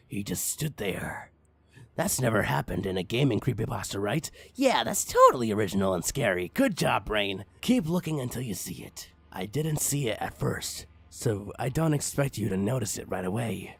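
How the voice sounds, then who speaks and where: A man reads a parody horror story with mock-ominous drama. His voice is lowish and husky, swinging widely in pitch as he draws out phrases theatrically. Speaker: creepypasta reader. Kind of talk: dramatic comic story narration.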